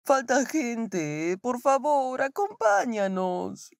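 A woman's voice: on the high side, animated, drawn-out words, no room sound, studio quality, heavily acted. A woman voicing a dramatic, pleading young college student in a webtoon dub. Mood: pleading, whiny.

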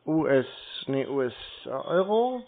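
Slow, deadpan man on a phone line. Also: medium pitch. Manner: deadpan, dithering, colloquial. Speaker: scambaiter playing along with caller. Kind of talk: casual phone conversation. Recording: thin narrowband phone-like sound.

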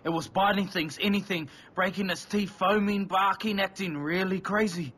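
Worried male villager, high-pitched, husky, earnest, anxious, video game dialogue. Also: steady tone, normal speed, fairly bright tone. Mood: worried explaining. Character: worried, earnest island villager man asking for help.

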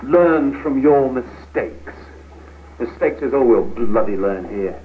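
A tinny old film soundtrack with faint noise, and on it a young British office worker. He sounds earnest, argumentative and frustrated, and his pitch moves around a lot. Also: animated, slow. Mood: bitter, frustrated. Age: young adult. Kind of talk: 1970s training film acting.

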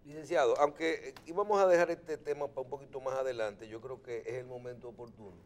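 A man's voice: medium pitch, steady tone, fast talker. A middle-aged man hosting a TV interview in a studio, building long questions with hesitant restarts, on a lapel mic. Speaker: TV host.

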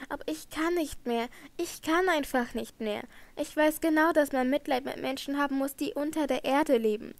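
A woman's voice: sits high, vocal fry, no echo. Woman voicing a haunted young singer. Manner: anguished, trembling, emotional.

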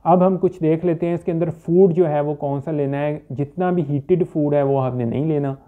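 A man, a homeopathic doctor giving an informal, halting medical explanation to camera with English terms mixed in. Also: a little high, fairly even intonation. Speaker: homeopathic doctor presenting a health video. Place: small furnished indoor room. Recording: dull, limited-bandwidth phone-like sound.

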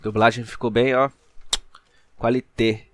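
A man's voice: mid-range voice, little pitch movement, a little slow. A young Brazilian man giving casual, slangy gameplay commentary as a channel host. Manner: colloquial, slangy asides to viewers.

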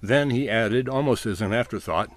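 A man's voice: medium pitch, normal speed, no room sound. A man narrating a scripted humorous essay in a wry, literate storytelling manner.

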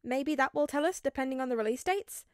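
A woman's voice: sits high, fast, no room sound, clean studio sound, pitched above the performer's own voice, lightly acted. A woman voicing a level-headed, curious teenage girl with a matter-of-fact way of talking.

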